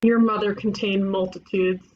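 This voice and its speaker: A woman playing a blunt, cocky detective with flippant deadpan delivery in an animated comedy table read. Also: medium pitch, normal speed, lo-fi sound, lightly acted.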